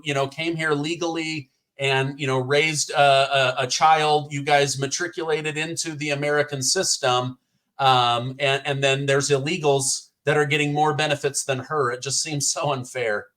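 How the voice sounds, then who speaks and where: A man's voice: a little high, flat delivery, normal speed, a bit bright. A man hosting an interview, asking questions in a casual way with frequent fillers. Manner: casual, uses "like" and "um" while asking. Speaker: podcast host. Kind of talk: conversational interview.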